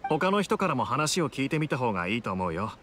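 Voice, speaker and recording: A man's voice: mid-range voice, sing-song, fast, very noisy, close to an everyday voice. A man playing an easygoing young adventurer in an anime game, talking in a casual, reflective, slightly hesitant way. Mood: thoughtful. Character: easygoing young male adventurer, thoughtful townsman.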